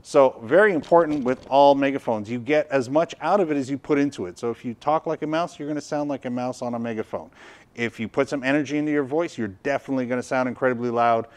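A man's voice: mid-range voice, fast, a little mellow, clear, resonant tone. Middle-aged man presenting a product demo. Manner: explanatory, conversational. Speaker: product reviewer and video host. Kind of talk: casual product demonstration. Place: indoor studio workshop at a tabletop.

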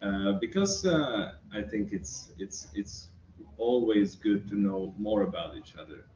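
A young Hungarian man with a Hungarian accent, a researcher answering interview questions thoughtfully, pausing often with fillers, recorded by a camera across an office room by a window. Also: lowish voice, lively intonation, a little mellow. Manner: thoughtful, with many pauses and fillers. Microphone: far camera. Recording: roomy, distant, muffled, faint hiss.

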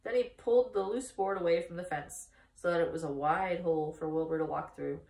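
A woman in her thirties reading a children's book aloud as expressive storytime narration. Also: lowish voice, a little fast, slight background noise. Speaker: storytime reader. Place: small indoor room, seated by a wall. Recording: echoey room, distant microphone.

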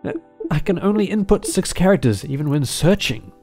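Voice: medium pitch, animated, a little fast, warm tone. A video game commentator giving casual gameplay commentary in a playful, exclamatory way, with rambling asides. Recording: game music behind voice. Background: video game music.

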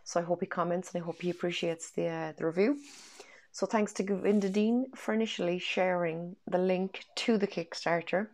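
A woman in her thirties with an Irish accent talks eagerly to camera from her bedroom, her voice a little low and stuffy from a cold. She rambles happily with frequent ums, recorded on a wired earphone mic. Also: steady tone, normal speed, a little mellow. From Ireland. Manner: chatty and enthusiastic, lots of ums. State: congested from a cold. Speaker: tarot deck reviewer. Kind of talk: casual vlog chat. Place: bedroom at home, indoors.

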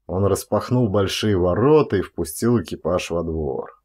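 A man narrating a literary short story as a dramatized audiobook, in a measured, expressive way. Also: low voice.

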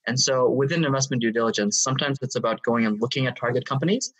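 Guy in his 30s from hong kong, explains things step by step with lots of uhs, husky, a bit far from the mic. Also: medium pitch, a bit bright. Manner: measured, explanatory, with frequent fillers. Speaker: guest, AI consultant and lecturer. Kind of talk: interview answers.

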